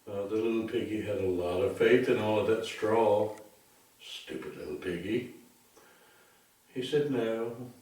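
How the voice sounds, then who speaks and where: A man telling a darkly comic bedtime story in a deliberate, theatrical, mock-sympathetic way. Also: on the low side, darkish tone. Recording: very echoey room, distant microphone.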